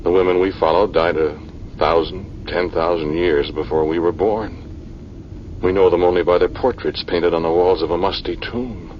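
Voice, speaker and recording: A brooding archaeologist telling a creepy tale, super deep voice, muffled 40s radio recording. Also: male voice, a little hiss or hum. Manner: brooding, dramatic, deliberate storytelling with heavy pauses. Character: haunted male archaeologist recounting an eerie true story. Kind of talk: 1940s radio acting, first-person narration. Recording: muffled vintage radio recording, music underneath.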